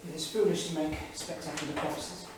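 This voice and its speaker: An actor playing a brooding English intellectual of the 1930s in a staged reading, speaking in a grave, rhetorical manner. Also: male voice, a little high, steady tone, fast talker, close to an everyday voice. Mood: solemn. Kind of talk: staged reading of a dramatic monologue. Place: small theatre studio. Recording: distant, echoey theatre recording with noise.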